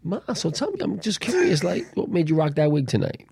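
A man bantering casually on a talk show, slangy and playful, telling things in an animated way. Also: on the high side, brisk, vocal fry, breathy edge, dry recording, clean studio sound.

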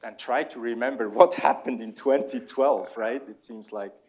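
A man's voice: medium pitch, moderate pace, a little mellow. A man with a Swiss German accent moderating a panel discussion in a lecture hall, courteous and lightly self-deprecating.

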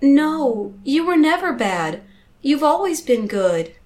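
A woman's voice: a little high, takes it slowly, slight background noise, pitched above the performer's own voice. A woman playing an anguished young woman who answers voices in her head in a pleading, defensive, tender way. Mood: tearful, tender.

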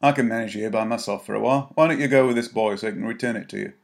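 A man's voice: fairly low pitch, rapid-fire, vocal fry. A man voicing a stern, authoritative grown man who warns a boy firmly. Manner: firm, warning. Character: stern, authoritative man helping at a soup van.

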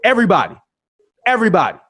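Brief: man, 30, high-pitched, profane ranting co-host who keeps repeating himself. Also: sing-song, very fast, a bit bright, breathy edge. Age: 30s. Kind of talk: heated casual debate.

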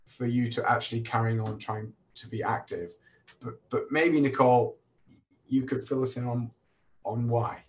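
A man, hoarse from a recent illness, hosting a podcast interview in a relaxed way with many fillers and restarts. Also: mid-range voice, lo-fi sound. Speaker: podcast host and oncologist.

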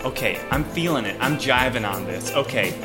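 A woman plays an encouraging advice-show partner in improvised radio comedy. The female voice sits very low yet moves in lively swoops, earnest and searching for words. Music runs behind it. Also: moderate pace.

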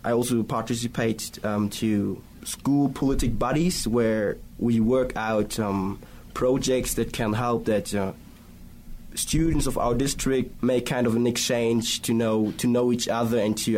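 A teenage boy from Germany introducing himself in English, hesitant with many pauses. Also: mid-range voice, fairly even intonation, darkish tone, slight background noise. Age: teens. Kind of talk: self-introduction.

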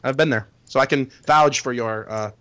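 A man co-hosting a podcast in casual banter, talking loudly with halting restarts and frequent laughs. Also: medium pitch, slight background noise. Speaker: podcast co-host.

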